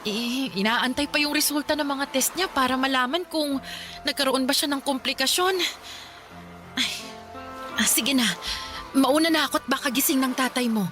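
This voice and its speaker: A woman's voice: sits high, moderate pace, pauses often, a bit bright, soft background music. An actress playing a worried mother of six, the wife of a poor fisherman, in a radio drama. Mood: reassuring. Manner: anxious, tearful.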